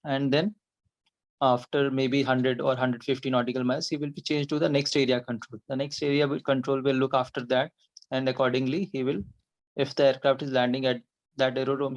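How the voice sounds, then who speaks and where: A man with an Indian accent speaks as a podcast guest, walking through technical procedures one step at a time. His pitch is mid-range and stays fairly level, with little rise or fall. The delivery is informal but methodical. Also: little pitch movement, fairly quick. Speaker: air traffic controller, podcast guest. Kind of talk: informal technical explanation in an interview.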